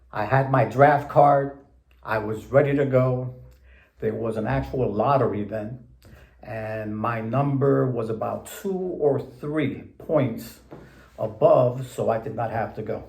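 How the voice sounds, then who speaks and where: A man in his seventies, born in Puerto Rico, recalling his path to the bench in a slow, deliberate, plain-spoken way. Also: medium pitch, creaky, darkish tone, reverberant. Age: 70s. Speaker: interviewee judge. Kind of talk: measured recollection in an interview.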